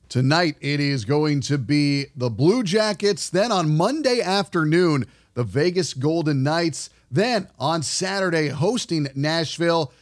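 A man hosting a sports podcast, talking through a monologue in a conversational, emphatic way. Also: a little high. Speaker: podcast host.

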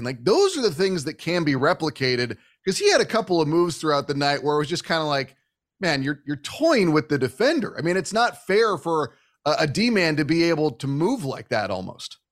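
A man with a North American accent hosting a sports show, giving casual conversational analysis. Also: fairly high pitch.

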